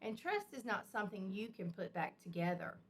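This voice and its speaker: A woman voicing a patient, gently firm mother bear in a children's storybook, speaking earnestly. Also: mid-range voice, very animated, moderate pace. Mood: sad, patient.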